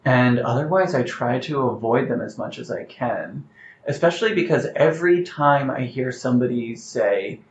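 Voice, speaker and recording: A man's voice: medium pitch, moderate pace. A man with a mid-range voice talks reflectively, as a writer would in an interview, weighing his phrases as he goes. The recording is echoey and a little dull, with faint noise underneath. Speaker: playwright being interviewed. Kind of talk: thoughtful interview conversation. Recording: roomy, slightly muffled, noticeable background noise.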